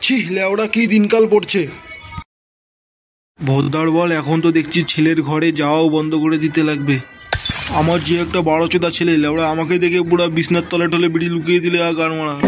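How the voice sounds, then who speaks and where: Guy doing a grumpy cartoon dad, high voice, fast cursing and scolding, faint noise behind. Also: steady tone, close to an everyday voice. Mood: grumbling. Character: irritable foul-mouthed father, quick to scold. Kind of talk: comic cartoon dubbing.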